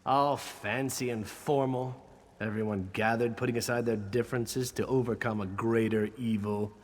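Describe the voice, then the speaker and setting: A man's voice: medium pitch, steady tone, normal speed, lightly acted. Actor as a sardonic man in cavernous reverb. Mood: mocking. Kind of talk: scripted fantasy game dialogue. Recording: long hall reverb, faint noise.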